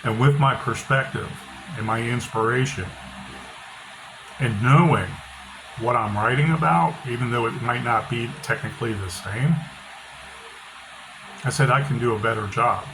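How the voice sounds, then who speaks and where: A middle-aged author talking casually with a loud, bass-heavy voice, blunt and opinionated, often repeating himself. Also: male voice, normal speed, pauses often. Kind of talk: casual monologue. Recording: noisy background hiss.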